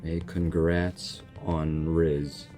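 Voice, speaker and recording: A young man reacting to a video game for his channel, joking and excitable, talking back to the characters. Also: a bass voice, drawn-out words, soft background music. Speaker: gaming YouTuber. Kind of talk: casual game reaction commentary.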